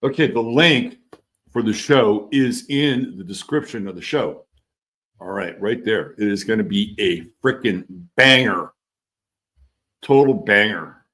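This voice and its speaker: A man's voice: on the low side, lively intonation, unhurried, fairly bright tone. A man with a mixed California and East Coast accent hosting a casual livestream, chatting loosely with viewers and reading their comments. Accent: California and East Coast mix. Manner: loose and rambling, trails off, restarts, swears casually. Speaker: livestream host.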